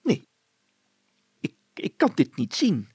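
A man voicing a pompous, fussy and excitable mayor in a story, exclaiming and stammering with indignant drawn-out words. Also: fairly high pitch, husky, no echo, full character voice. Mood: flustered.

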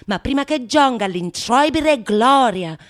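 A woman playing a fierce, lewd, vengeful wife in a Renaissance stage comedy, declaiming emphatically with drawn-out words and gleeful mock passion. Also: on the high side, expressive. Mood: gleeful fury. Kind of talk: theatrical monologue of Renaissance comedy.

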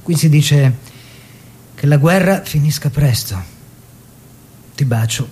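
An actor playing a proud, stubborn, food-loving Italian painter husband in a stage-style drama, with an opinionated, fussy manner. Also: male voice, fairly high pitch, slow pace, a little mellow, slight background noise. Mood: weary, tender. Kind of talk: theatrical two-hander, letters and dialogue.

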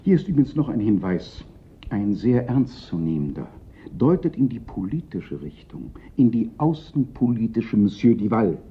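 Highly animated, fretful police prefect. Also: male voice, moderate pace, slight background noise. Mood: suspicious, insistent. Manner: theatrical, exasperated, lamenting. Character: fretful, self-pitying Paris police prefect, panicky and melodramatic. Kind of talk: German radio play acting. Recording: dull, lo-fi radio play recording.

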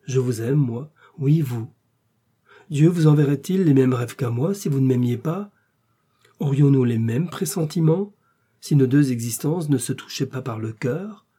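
A man's voice: medium pitch, expressive, measured pace, warm tone, slightly rough. A man playing a passionate, melancholy duke in love, speaking in fervent, pleading declamation for a dramatic novel reading. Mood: exultant. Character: passionate, lovestruck English duke, reckless and melancholy.

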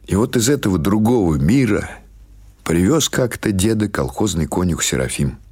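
A man reading literary prose as an audiobook narrator, in a measured, dramatic storytelling manner. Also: low-pitched, sing-song, darkish tone, husky.

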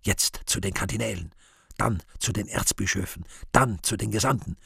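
An actor voicing the Devil as an imperious master who hammers out commands in a radio play. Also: male voice, on the low side, normal speed, gravelly, a bit bright, breathy edge, dry recording, studio quality, heavily acted. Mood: imperious, urgent. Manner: commanding, urgent, hammering out a list. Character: imperious Devil barking orders at his creature.

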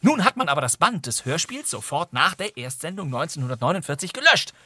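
A man's voice: fairly high pitch, big pitch swings, brisk, sharp tone, dry recording, studio quality. A man presenting a scripted explanation in a theatrical, emphatic, mock-solemn manner. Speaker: presenter introducing a radio play.

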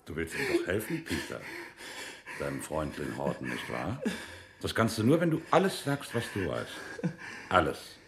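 An actor playing a sly, bullying military interrogator in a radio drama, mock-friendly and then sharply commanding. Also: male voice, very deep voice, very animated, moderate pace, gravelly, long pauses, fairly bright tone, a little hiss or hum, heavily acted. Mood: menacing, insistent.

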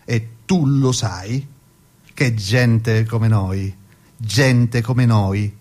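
A man reciting a poem on the radio in a slow, rhythmic, emphatic way. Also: on the low side, a little mellow. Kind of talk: poetry recitation. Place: radio studio.

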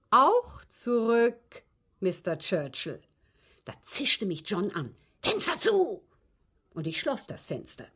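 Haughty old lady with a husky, swooping voice. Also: mid-range voice, bright, forceful tone, full character voice. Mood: emphatic, scornful. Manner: precise, formal, haughty, dryly scornful. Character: proud, articulate old English widow, coolly confessing to police. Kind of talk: radio drama acting.

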